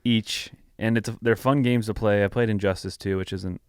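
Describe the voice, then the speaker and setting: A man's voice: on the low side, steady tone, moderate pace, clear, resonant tone, no room sound, clean studio sound. A man co-hosting a podcast in loose, casual conversation. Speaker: podcast host. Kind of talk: casual chat.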